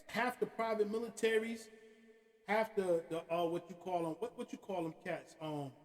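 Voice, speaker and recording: A man hosting a podcast, ranting in an animated, profane way and often asking "you know what I'm saying". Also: high-pitched, normal speed. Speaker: podcast host. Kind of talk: opinionated casual rant. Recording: hall-like reverb.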